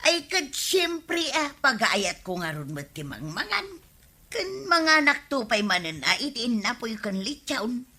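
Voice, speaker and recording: A woman's voice: fairly high pitch, big pitch swings, measured pace, pushed and bright, heavily acted. A woman playing a gluttonous, touchy old village woman in a radio comedy drama, exaggerated and whiny. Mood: gleeful, greedy.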